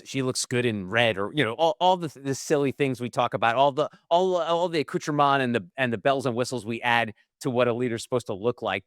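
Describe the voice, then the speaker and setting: A male podcast host talks in a relaxed, conversational way and often uses fillers as he asks questions. His voice is at a middle pitch and sounds dry and close on the microphone. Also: no room sound. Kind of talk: casual interview chat.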